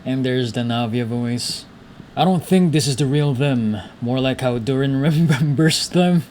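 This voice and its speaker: A man's voice: mid-range voice, measured pace, darkish tone. A man streaming a video game with casual, slangy commentary, musing aloud as he plays. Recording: noticeable background noise, game sound. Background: video game audio.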